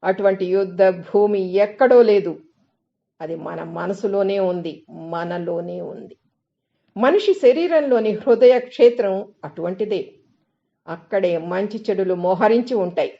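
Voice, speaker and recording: A woman reading a scripted spiritual essay as narration, with measured, deliberate phrasing and pauses. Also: medium pitch, vocal fry, lo-fi sound. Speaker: reader of literary excerpts.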